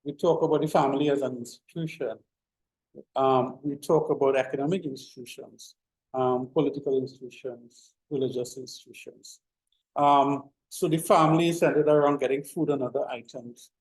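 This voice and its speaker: A man's voice: mid-range voice, fairly even intonation, normal speed. Man lecturing in an echoey room. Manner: rambling, many fillers and restarts. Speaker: university lecturer. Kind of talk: informal classroom lecture.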